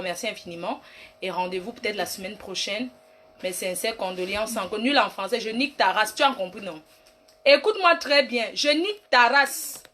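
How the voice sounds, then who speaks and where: A woman's voice: on the high side, sharp tone. A woman playing a brash, boastful self-styled queen mother in a rambling comic monologue. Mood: scolding. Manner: bossy, rambling, mock-grand, trash-talking. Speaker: comic online personality. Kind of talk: comic live-stream monologue.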